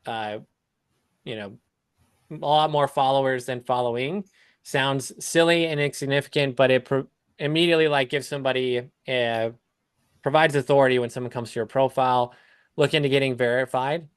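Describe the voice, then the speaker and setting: A man's voice: mid-range voice, normal speed, smooth, dry recording, studio quality. A man talking casually as a coach on a live call, explaining things step by step with examples. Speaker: business coach hosting a client call.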